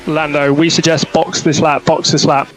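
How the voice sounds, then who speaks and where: A man's voice: on the high side, level tone, moderate pace. A man working as a race engineer on team radio, giving clipped instructions and repeating each one. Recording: noisy team radio, vehicle noise.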